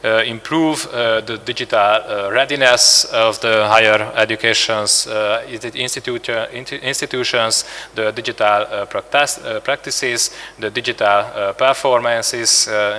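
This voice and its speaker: A man with a Hungarian accent presenting slides at a conference, halting, with many filler sounds and restarts. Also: medium pitch, sharp tone, big-room sound. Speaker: conference speaker.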